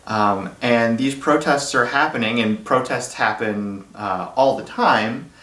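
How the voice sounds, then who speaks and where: A man's voice: medium pitch, measured pace, pushed and bright. A man speaking as an interview guest, explaining ideas simply for children in a conversational way with everyday examples. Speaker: guest, philosophy lecturer. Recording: roomy echo, distant from microphone.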